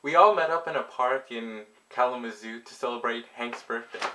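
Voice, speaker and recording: A man's voice: mid-range voice, normal speed, noisy background. A man narrating a vlog and telling stories to his viewers. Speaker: vlogger.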